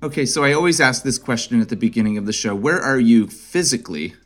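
A man from Connecticut hosting an interview show, reading a polished, upbeat introduction and then asking relaxed questions. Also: mid-range voice, fast, faint music behind. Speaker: podcast host. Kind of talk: interview host with scripted intro.

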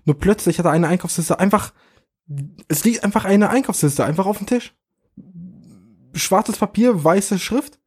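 A man's voice: high voice, normal speed, long pauses, a little mellow, breathy edge. A man co-hosting a podcast, improvising a story with many hesitations. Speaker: podcast co-host.

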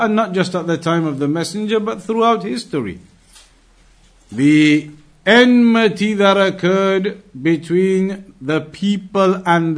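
A man giving a religious class lecture as a teacher, speaking emphatically with repetition and rhetorical questions. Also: high-pitched. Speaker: Islamic studies teacher.

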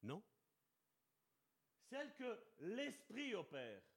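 A man preaching a sermon with passion, slowing down to stress key words and calling out to his brothers and sisters. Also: sits high, wildly swooping pitch, creaky. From Italian heritage. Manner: impassioned, addresses congregation as brother and sister.

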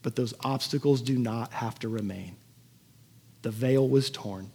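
A man's voice: mid-range voice, unhurried, gravelly, warm tone, slightly rough. A man preaching as a pastor in a conversational sermon, earnest and given to emphatic repetition. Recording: echoey hall with long reverb.

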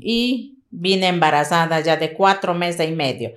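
A woman's voice: on the low side, a little slow. A middle-aged woman, informally recalling her life in an interview. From Colombian Amazon. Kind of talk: informal personal recollection.

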